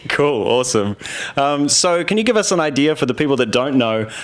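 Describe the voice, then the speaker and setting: A man's voice: medium pitch, expressive, brisk. A man interviewing a guest at an office, upbeat and joking, holding a handheld mic. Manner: upbeat and jokey. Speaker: developer evangelist, interviewer. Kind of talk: casual interview. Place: office lounge with wood-panelled wall.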